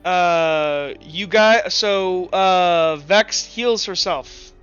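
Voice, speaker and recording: A man's voice: sits high, extremely slow, a bit bright, clean tone. A man running a tabletop roleplaying game as game master, explaining rules and scenes in a casual, rambling way with restarts. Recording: dull lo-fi voice with music behind.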